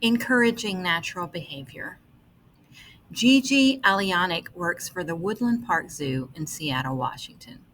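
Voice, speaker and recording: A woman with a somewhat low voice narrates a written article aloud. Her phrasing is measured and deliberate. A faint noise sits behind the recording. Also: animated, fairly bright tone, rough recording. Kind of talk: scripted read-aloud narration.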